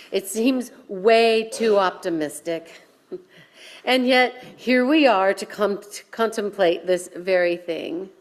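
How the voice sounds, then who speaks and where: Slow, deliberate woman preaching a sermon. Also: medium pitch. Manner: deliberate, dramatic emphasis with pauses. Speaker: minister giving a sermon.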